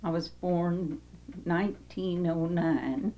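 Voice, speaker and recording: A woman, gently recalling where her family lived. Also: fairly low pitch, takes it slowly, lo-fi sound. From Western North Carolina. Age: 90s. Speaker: interviewee.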